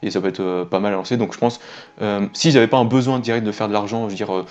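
A man's voice: on the low side, steady tone, fast talker, darkish tone. A man in his twenties chatting casually as an interview guest, informal and rambling. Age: 20s. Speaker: interviewed web entrepreneur. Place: small indoor room with wooden ceiling.

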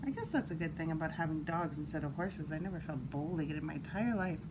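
A woman storyteller reading a children's picture book aloud in an animated, expressive way, with brief asides. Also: low-pitched, quick pace. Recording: clearly audible background noise.

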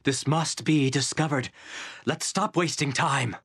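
An actor playing a devout, urgent techno-cultist scientist in a game, earnest and declamatory. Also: male voice, medium pitch, unhurried, a bit bright, breathy edge. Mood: zealous.

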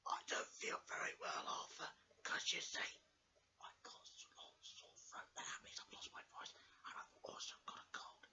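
A man voicing an old grandad bear who is ill and has lost his voice, speaking in a breathy whisper. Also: normal speed, pushed and bright. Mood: weak, sickly. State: sick, voiceless. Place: bedroom indoors. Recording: lo-fi webcam audio.

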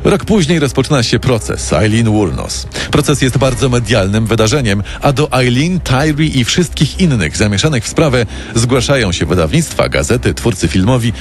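A man's voice: mid-range voice, expressive, moderate pace. A man narrating a scripted true-crime radio story in a dramatic storytelling manner. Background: prominent music bed.

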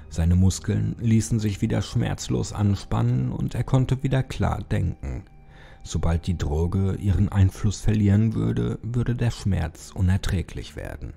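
A man narrating a horror story as a scripted, dramatic audiobook, in a brooding, suspenseful way with deliberate pauses. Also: lowish voice, gravelly, mellow.

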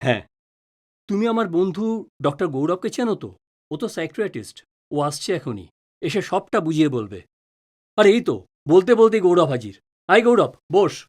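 A man's voice: high voice, sing-song, moderate pace, pauses often, darkish tone. A man playing a patient, easily flustered middle-aged father who is wrongly accused in an audio drama. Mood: firm. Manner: stammers and repeats words when flustered. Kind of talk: Bengali audio drama acting.

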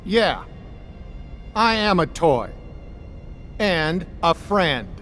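An actor voicing a loyal, earnest toy cowboy leader who is defiant, in an animated video game. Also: male voice, on the high side, extremely slow, soft background music, full character voice.

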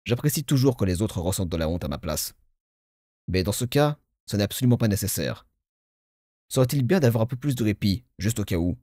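A man playing a pompous, self-satisfied game narrator with formal, measured, grandiloquent delivery. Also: on the low side, lively intonation, mellow, dry recording, clean studio sound. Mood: politely dismissive. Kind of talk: theatrical scripted game narration in French fan dub.

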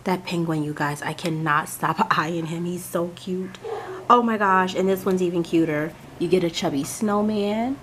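A woman hosting a casual unboxing vlog, hoarse from a cold, chatty and gushing as she talks to her viewers. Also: on the low side, moderate pace, a bit bright, slight background noise. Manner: chatty, gushing, addresses viewers as "you guys". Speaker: crafting channel host.

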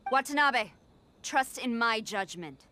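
Stern, commanding samurai general. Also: female voice, a little high, animated, slow, sharp tone, full character voice. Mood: urgent, commanding. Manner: formal, commanding. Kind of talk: video game voice acting.

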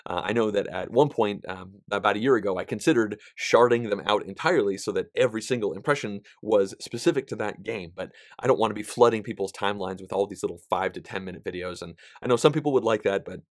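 A man in his thirties with a somewhat nasal voice, giving a casual, animated vlog update about his board game channel. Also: lowish voice, fast, hardly any pauses, no room sound, studio quality. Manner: chatty and animated, gesturing with both hands, frequent fillers. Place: small indoor studio, plain backdrop.

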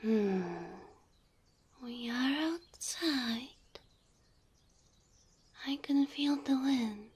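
A woman playing a gentle, affectionate cow girl girlfriend in an ASMR roleplay, breathy and often whispering, tender and full of wonder. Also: high voice, drawn-out words, long pauses, slight background noise. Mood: soft, curious, trusting. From Slavic country.

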